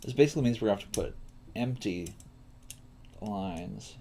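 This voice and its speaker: A man teaching coding on a casual livestream, thinking aloud in a halting mutter as he works. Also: on the low side, expressive, vocal fry, dark tone. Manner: halting, muttering to himself while working. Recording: faint noise, keyboard typing and clicks. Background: computer keyboard typing and mouse clicks.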